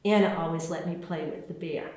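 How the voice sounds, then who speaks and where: A woman author reading her children's picture book aloud in an expressive, deliberate storytelling way, with spaced phrases. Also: deep voice. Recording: very echoey room.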